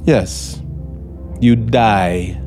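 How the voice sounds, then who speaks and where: Desperate, menacing scriptwriter. Measured delivery, dramatic pauses, vintage radio suspense with underscore. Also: male voice, on the low side, vocal fry, darkish tone, heavily acted. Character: embittered, desperate male radio scriptwriter holding a gun. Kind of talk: 1950s radio suspense acting. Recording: 1950s radio broadcast, music behind.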